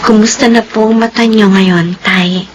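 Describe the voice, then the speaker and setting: A woman's voice: mid-range voice, fairly even intonation, a little slow, loud music behind the voice, lightly acted. A woman playing a devoted, meek young maid in a Filipino radio drama, polite and emotional. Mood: caring.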